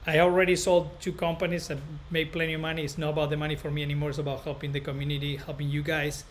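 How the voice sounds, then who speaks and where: A man with a Spanish accent and a fairly high voice hosts a casual live stream for viewers. He talks in a rambling, halting way, circling back and repeating phrases. Clear background noise sits behind his voice. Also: level tone. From northern Spain. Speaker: barber educator and live stream host.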